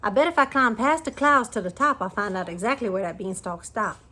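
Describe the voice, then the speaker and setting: A woman's voice: mid-range voice, fairly quick. A woman voicing an eager, cheeky young boy in a rhyming storybook. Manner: bright and eager.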